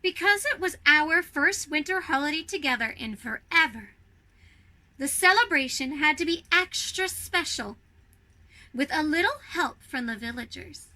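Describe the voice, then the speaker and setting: A woman reading a storybook to children as a snow queen, expressive and speaking directly to her young listeners. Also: high-pitched, normal speed.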